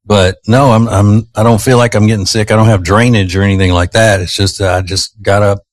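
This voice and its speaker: A man's voice: on the low side, steady tone, fairly quick, dry recording, clean studio sound. Chatty man with a strained voice. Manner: chatty, teasing, rambling. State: slightly strained voice. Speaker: radio show host. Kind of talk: casual morning radio banter. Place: radio studio. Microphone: studio microphone.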